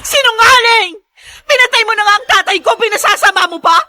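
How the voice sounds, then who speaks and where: Furious grieving daughter in radio drama, really high piercing voice, sobbing and yelling. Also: female voice, brisk, no room sound, heavily acted. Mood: furious, accusing. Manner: sobbing, shrieking accusations. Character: furious grieving daughter confronting her father's killer. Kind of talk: Filipino radio drama acting.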